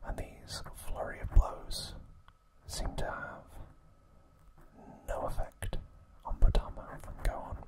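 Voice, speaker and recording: An ASMR host narrating in a hushed, breathy, unvoiced whisper, slow and unhurried. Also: rough recording. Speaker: ASMR host recapping an anime series.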